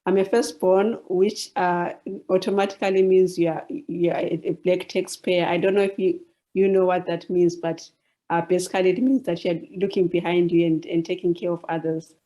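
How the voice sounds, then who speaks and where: A woman with a Zimbabwean accent introducing herself in a webinar, speaking haltingly and repeating words. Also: on the low side, level tone, warm tone. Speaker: journalist and science communication presenter.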